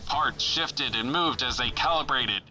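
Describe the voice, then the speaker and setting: A man's voice: medium pitch, a little slow, sharp tone, faint music behind, rough recording. A man playing a dramatic, suspenseful announcer narrating an old-time pulp adventure radio serial. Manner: theatrical, suspenseful.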